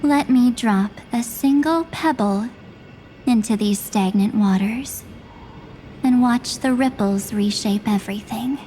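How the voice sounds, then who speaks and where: A woman voicing a solemn, enigmatic young woman in a video game, speaking deliberately and portentously. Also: a little high, dark tone, a little hiss or hum. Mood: cryptic, solemn. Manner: slow, deliberate, portentous delivery. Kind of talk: dramatic video game voice acting. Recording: under clear background music.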